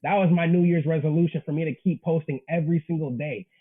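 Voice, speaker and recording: A man's voice: a little high, steady tone, quick pace, breathy edge, narrow, tinny audio. A man in his twenties chatting as an interview guest, earnest and slangy. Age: 20s. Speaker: interview guest, manga creator. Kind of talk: casual interview chat.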